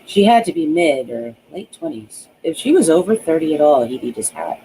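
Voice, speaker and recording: A man with a slightly high voice narrates a novel in a dramatic storytelling manner. He stumbles now and then over a phrase. There is a faint noise on the recording. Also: very animated, normal speed, mellow, low-quality recording. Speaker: author reading own novel aloud. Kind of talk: audiobook narration of a romance novel.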